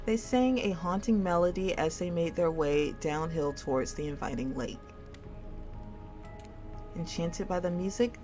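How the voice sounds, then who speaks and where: Female game streamer, lower register, reading story text aloud with music clearly underneath. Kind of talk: storytelling read-aloud of a dating sim game. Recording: music behind the voice.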